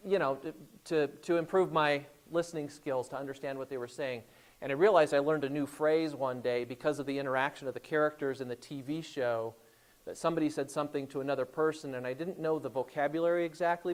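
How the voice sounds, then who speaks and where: A middle-aged man with an Indiana accent, a guest speaker telling anecdotes to a class in a relaxed way, with frequent hesitations and restarts. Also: fairly high pitch. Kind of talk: classroom storytelling talk.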